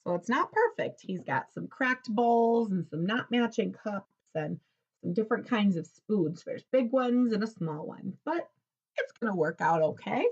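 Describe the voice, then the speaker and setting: Teacher lady reading a kids book out loud, real expressive, asks questions, bit muffled sound. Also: mid-range voice, a little slow, husky. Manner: expressive, explaining simply. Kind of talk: animated picture-book read-aloud with questions for children.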